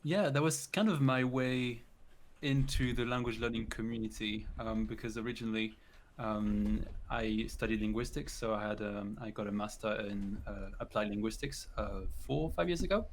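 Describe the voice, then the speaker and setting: A man's voice: on the low side, moderate pace, a little hiss or hum. Young man, chatty and laughing. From France. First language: French. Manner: friendly, laughs often, chatty. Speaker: guest, language YouTuber. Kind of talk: casual interview chat.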